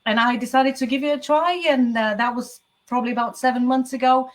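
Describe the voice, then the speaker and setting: A woman's voice: sits high, fairly even intonation, brisk. A woman in her forties from the UK, a guest telling her story in a casual chat, chatty, with frequent laughs and some stammering.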